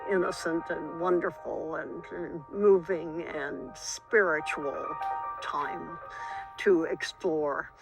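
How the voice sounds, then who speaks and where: A man giving reflective, unhurried interview remarks. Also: a little high, fairly even intonation, creaky, poor audio. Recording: loud music under the voice. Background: music.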